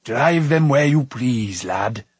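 A man voicing a huge, hearty, blustering warrior king, husky and bellowing, in a storybook reading. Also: mid-range voice, expressive, dry recording. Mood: boisterous. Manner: blustering, drawn-out delivery.

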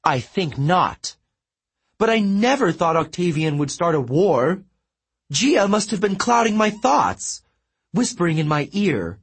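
A man's voice: sits high, very animated, measured pace, breathy edge, voice pushed up, full character voice. A man playing Apollo, a wailing vain sun god. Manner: wailing, theatrical and self-pitying.

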